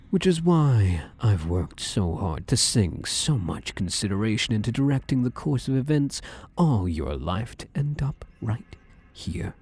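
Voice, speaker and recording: A possessive shadow creature in male form, acted by a man, speaks in a low, dark murmur that rises and dips freely. He is domineering and obsessively devoted, coaxing and commanding up close in an intimate ASMR roleplay. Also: heavily acted. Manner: intimate, coaxing, commanding, slipping into breathy whispers.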